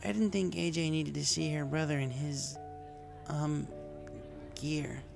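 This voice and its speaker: A man's voice: on the high side, a little slow, gravelly, over music, pitched above the performer's own voice. A man voicing a brash young female pony in a story, casual and grumbling, with a scratchy voice. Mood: sheepish.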